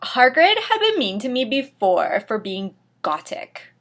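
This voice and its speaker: A woman with a fairly high voice reads a story aloud at a slow pace. Her narration is theatrical and emphatic, broken up by dry asides to the listener. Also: wildly swooping pitch, a bit bright. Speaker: reader of a fan fiction story. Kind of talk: dramatic reading with dry asides.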